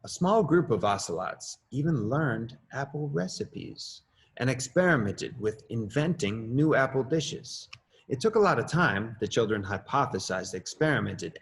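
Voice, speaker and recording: Middle-aged guy reading his kids' story out loud, expressive, voice jumps up on the exciting bits. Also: mid-range voice. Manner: expressive, lively rises on questions and exclamations. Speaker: assistant principal. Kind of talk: storybook read-aloud.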